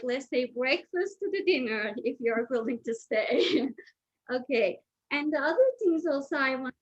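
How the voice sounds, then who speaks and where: A middle-aged woman from Turkey with a Turkish accent, a guest chef chatting informally through a cooking demonstration, often checking in with "okay?". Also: sits high. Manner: chatty, often asks "okay?", loose non-native grammar. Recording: dull lo-fi sound.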